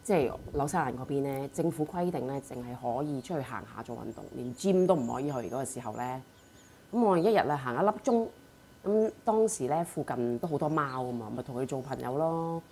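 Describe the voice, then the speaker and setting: A woman's voice: rumbling low, animated, a little fast, darkish tone, a little hiss or hum. A woman with a Hong Kong Cantonese accent chatting casually and sharing personal anecdotes as a podcast co-host.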